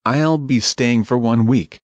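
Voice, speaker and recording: A man reading English travel phrases one by one in a measured, plain way for learners. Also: medium pitch, no echo. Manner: measured, plain, each phrase read alone. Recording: dull, slightly muffled dry audio.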